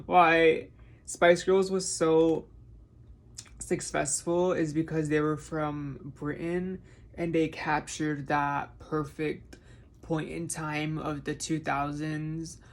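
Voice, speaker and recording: A man chatting casually while doing his makeup, rambling through his thoughts with a touch of vocal fry. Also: fairly high pitch. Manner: rambling, musing train of thought. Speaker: makeup vlogger. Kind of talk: casual chat during a makeup tutorial.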